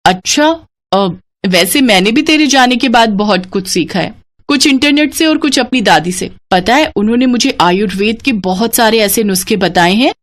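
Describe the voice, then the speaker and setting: Casting: humble young village friend played by a female storyteller, highish voice, frank and earnest, storybook style. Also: lively intonation, normal speed, close to an everyday voice. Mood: eager. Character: modest, plain-spoken young village woman, loyal friend.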